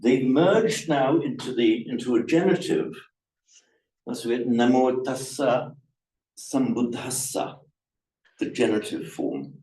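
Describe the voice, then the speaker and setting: A man's voice: mid-range voice, animated, takes it slowly, mellow. A man teaching a language class, explaining grammar patiently and often repeating words as he thinks. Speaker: Pali teacher. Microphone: room recorder, away from the speaker. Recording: echoey room from a distance.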